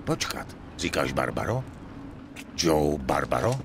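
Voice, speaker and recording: Deep, gravelly dockside thug. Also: male voice, sing-song, noisy background, full character voice. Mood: surprised. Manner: gruff, curt, swearing orders. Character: surly, thuggish male dock foreman and henchman. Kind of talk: dubbed crime game cutscene acting.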